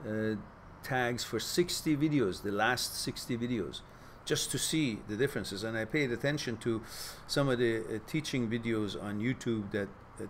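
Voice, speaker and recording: A man from Iran, a Farsi speaker, hosting a casual live chat and giving earnest, reflective advice with loose pauses and fillers. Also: on the low side, a little hiss or hum. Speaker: host reading live chat questions.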